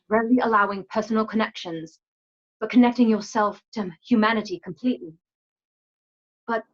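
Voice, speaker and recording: An actress as a solemn, loving and resolute sister in a Western radio drama. Her phrasing is formal and measured, mid-pitched, on a dull home microphone. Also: lightly acted. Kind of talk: online radio play acting.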